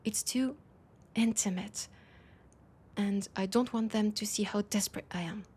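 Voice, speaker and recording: Woman acting a husky French-accented vampire lady. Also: mid-range voice, a little mellow. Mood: pleading, ashamed. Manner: hesitant, halting, breathy asides dropping into whispers. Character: proud, desperate vampire woman leader, vulnerable and battle-scarred. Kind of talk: intimate roleplay audio drama.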